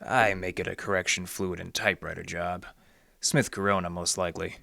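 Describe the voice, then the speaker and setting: Low deadpan hard-boiled detective, acted by a man. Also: a little fast, gravelly, no echo, heavily acted. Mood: dry, businesslike. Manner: deadpan noir wisecracking. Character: wry, hard-boiled male library detective. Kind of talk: 1940s radio detective acting, narration and dialogue.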